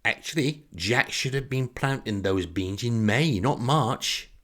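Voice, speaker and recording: A man's voice: mid-range voice, lively intonation, a little slow, fairly bright tone, voice pushed up. A man voicing an interrupting know-it-all farmer, pedantic and eager with trivia, in a comic monologue.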